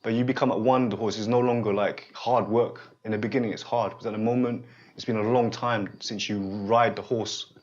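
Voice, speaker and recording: Halting man in his forties, dull lo-fi sound. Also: fairly low pitch, steady tone, darkish tone. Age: 40s. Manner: halting and repetitive, often pausing to check the listener follows. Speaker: holistic therapist guiding a student. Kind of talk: unscripted one-on-one guidance session.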